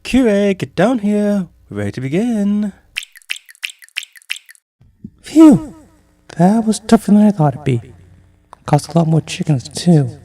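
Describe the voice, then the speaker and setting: A man's voice: sits high, sing-song, slow pace, long pauses, dark tone, distant mic, exaggerated voice. A man playing a fussy, defensive, easily exasperated mage in a comic anime parody. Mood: eager, self-reassuring.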